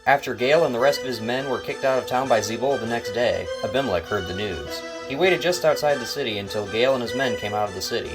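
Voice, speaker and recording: A man reads a scripted story as narrator. His pitch is ordinary for a man, and the delivery is that of dramatic Bible storytelling. Loud instrumental music plays behind him. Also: quick pace, few pauses, poor audio.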